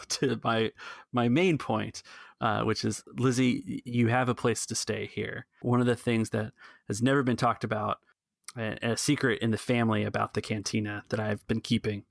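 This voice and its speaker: A man playing an earnest cantina keeper in a tabletop space adventure, urging rebellion in a halting, persuasive way. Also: medium pitch, gravelly, no echo, studio quality, lightly acted.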